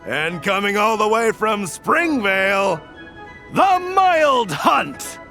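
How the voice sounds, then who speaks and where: A man voicing a formal, upbeat race referee in a video game, announcing in a measured, drawn-out way. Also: sits high, big pitch swings, pushed and bright, faint music behind, exaggerated voice. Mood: rousing. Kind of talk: ceremonial event announcing, scripted game dialogue.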